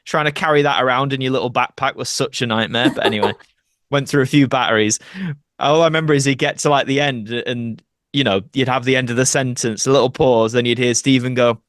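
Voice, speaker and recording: A man's voice: medium pitch, fairly even intonation, brisk, a bit bright, no room sound, clean studio sound. A young man talking casually as a podcast guest, chatty and full of anecdote. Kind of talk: casual interview chat, reminiscing.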